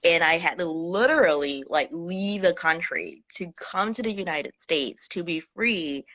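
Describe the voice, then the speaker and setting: A woman from Singapore, a guest in an interview on a video call, telling her story in a lively way and acting out her past thoughts. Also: on the low side, unhurried, muffled recording. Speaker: guest, Akashic Records healer. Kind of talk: casual interview chat.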